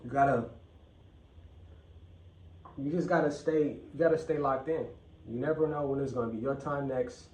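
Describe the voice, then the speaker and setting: A man's voice: mid-range voice, steady tone, normal speed, pauses often, darkish tone. A man hosting his own vlog, talking bluntly and profanely and repeating phrases for emphasis. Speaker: channel host. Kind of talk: casual vlog rant. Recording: echoey room, mic at a distance.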